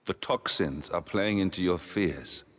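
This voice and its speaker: An actor playing a calm male comrade in a video game, a hallucinated voice, steady and urging. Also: low voice, a little slow. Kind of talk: video game cutscene acting. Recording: long hall reverb.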